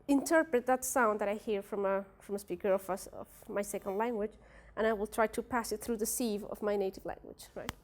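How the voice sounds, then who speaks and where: A woman's voice: medium pitch, fairly even intonation, a little fast, hardly any pauses. A young woman from a Spanish-speaking country, a native Spanish speaker with a Spanish accent, presenting her research at a workshop with many fillers and restarts. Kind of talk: academic presentation.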